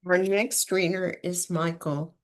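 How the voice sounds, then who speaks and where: Slow, gravelly host on a video call. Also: medium pitch, flat delivery. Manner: brief, gracious introductions. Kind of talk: hosting an online poetry reading.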